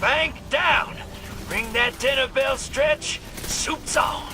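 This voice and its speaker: A man's voice: very high-pitched, takes it slowly. An actor playing a cocky, folksy, wisecracking rogue gunslinger in a video game, with a slangy, teasing showman's delivery. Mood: rowdy, excited. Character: cocky, roguish gunslinging hustler, long-lived, folksy and wisecracking. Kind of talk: animated video game acting. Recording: music behind the voice.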